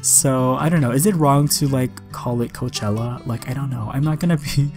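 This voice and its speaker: Chatty, slightly raspy young man over guitar music. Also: medium pitch, moderate pace, darkish tone. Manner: chatty, enthusiastic. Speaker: gaming video creator. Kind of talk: casual voice-over commentary on a game speed build.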